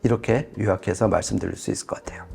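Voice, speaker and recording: A man's voice: lowish voice, steady tone, very fast, gravelly, darkish tone, slightly rough, soft background music. A man reviewing audio products in conversational commentary, explaining things with casual, emphatic phrasing.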